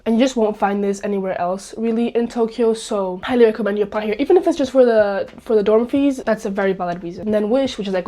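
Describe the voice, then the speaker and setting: A woman's voice: a little high, warm tone. A young woman from Spain, still getting over a cold, chatting upbeat to camera in a small dorm room and saying "like" a lot. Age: young adult. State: recovering from a cold. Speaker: vlogger. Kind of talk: casual vlog talk to camera.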